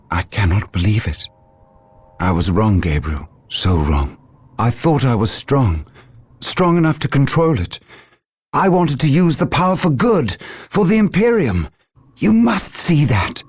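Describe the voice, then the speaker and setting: A man's voice: medium pitch, sing-song, measured pace, slightly rough, full character voice. A man playing a grandiose, power-hungry traitor warrior in a video game, with theatrical delivery. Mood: broken pleading. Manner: theatrical, swinging from menace to outrage. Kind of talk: dramatic video game villain acting.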